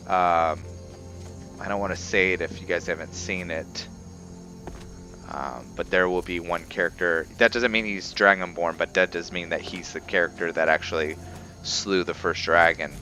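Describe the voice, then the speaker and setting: A man giving casual, somewhat halting commentary over gameplay as the host of a gaming video. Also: deep voice, fairly even intonation, bright, forceful tone, soft background music.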